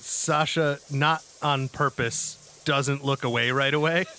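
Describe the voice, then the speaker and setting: A man improvising as a player on a tabletop game podcast, stammering and halting as he narrates and speaks in character. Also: mid-range voice, fairly even intonation, sharp tone, slight background noise.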